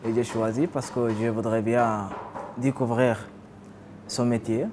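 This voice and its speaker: Sudanese man, halting testimony. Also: mid-range voice, level tone, a little mellow, a little hiss or hum. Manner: simple, halting sentences. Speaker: refugee trainee. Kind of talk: testimony interview.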